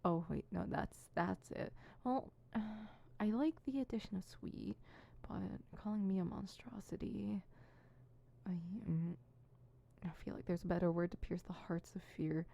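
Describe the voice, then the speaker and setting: Low, creaky insecure supervillain, acted by a woman. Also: moderate pace, dark tone. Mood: flustered, self-critical. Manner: fumbling self-conscious muttering broken by pompous verse recital. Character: insecure, theatrical toad-themed supervillain rehearsing grand monologues. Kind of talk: ASMR roleplay, scripted solo audio drama.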